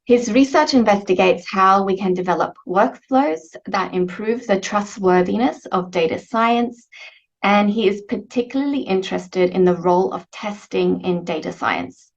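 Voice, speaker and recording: A woman chairing an online session, introducing the guest speaker in a measured way with a few fillers over a video call. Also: mid-range voice. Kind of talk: formal introduction. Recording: dull lo-fi call audio.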